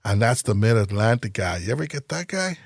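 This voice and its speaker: A man's voice: lowish voice, fairly quick, slightly rough, dry recording, studio quality. A middle-aged man with a North Side Dublin accent, a podcast host chatting casually and telling stories with lots of laughter and impressions. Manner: animated storyteller, laughs often and slips into impressions. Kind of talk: casual chat.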